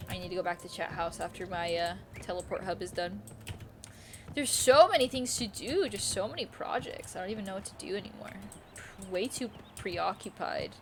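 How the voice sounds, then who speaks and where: A woman's voice: mid-range voice, animated, normal speed, slight background noise. A woman live streaming a game, thinking aloud in a casual, rambling, indecisive way and repeating herself. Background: quiet music.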